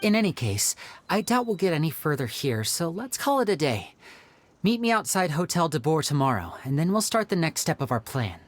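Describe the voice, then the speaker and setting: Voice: mid-range voice, big pitch swings, fairly quick. An actor playing a polite, composed young male magician in a video game, courteous and reassuring as he explains things. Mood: composed, planning.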